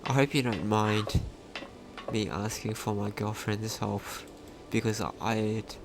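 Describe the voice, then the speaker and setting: A man's voice: fairly low pitch, little pitch movement, takes it slowly, very noisy. A man giving casual let's play commentary, thinking aloud in a hesitant, rambling way. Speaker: gaming video host. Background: video game music.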